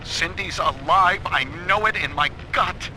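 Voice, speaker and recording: A man's voice: high-pitched, unhurried, background noise. An actor playing a desperate, haunted father searching for his child, speaking tensely in a game audio diary. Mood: desperate conviction. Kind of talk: dramatic audio diary acting.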